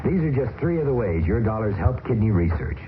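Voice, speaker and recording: A man reading a charity appeal on the radio, earnest and steady. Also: fairly low pitch, fairly quick. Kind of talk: scripted radio public service announcement. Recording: tinny, hissy old radio broadcast.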